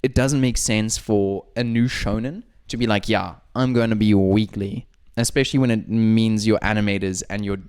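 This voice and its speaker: A man's voice: lowish voice, fairly even intonation, a little mellow, clear, resonant tone. Rambling, teasing young man on a podcast. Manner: rambling, teasing, quick asides. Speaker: podcast co-host. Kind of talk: casual banter among friends.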